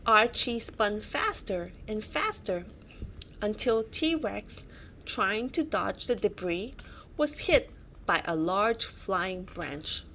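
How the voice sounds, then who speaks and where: A woman storyteller reads a children's picture book aloud. She takes her time and varies her pitch dramatically, adding small asides. Faint noise sits behind the voice. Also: takes it slowly, rough recording. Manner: dramatic, expressive.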